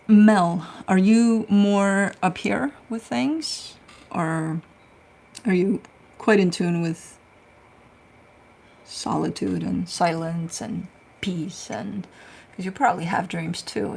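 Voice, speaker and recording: A woman's voice: mid-range voice, slow, vocal fry, long pauses, a little mellow, slightly rough. A woman, a tarot reader chatting casually on a livestream, telling stories and answering viewer questions. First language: Romanian.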